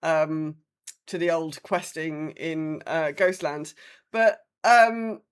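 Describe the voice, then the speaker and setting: A woman's voice: sits low, steady tone, takes it slowly, smooth. A woman co-hosting a podcast in casual chat, musing aloud with plenty of hedging and mild bemusement. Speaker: podcast co-host. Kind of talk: casual podcast chat about a video game.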